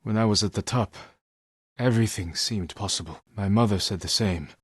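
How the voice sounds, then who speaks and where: A man voicing a stoic, honorable samurai warrior in a video game, speaking in a measured, solemn and restrained way. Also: lowish voice, breathy edge, dry recording, studio quality. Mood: solemn, resolute. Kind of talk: dramatic video game voice acting.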